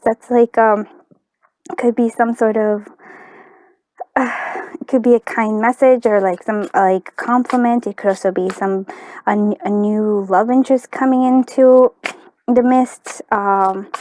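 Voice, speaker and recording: A woman reading tarot cards in a soft, chatty way, often restarting her sentences. Her voice sits a little high. The recording is dry and close, with no room sound. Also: a little slow, no room sound, low-quality recording. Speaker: tarot reader. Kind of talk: casual spoken tarot reading.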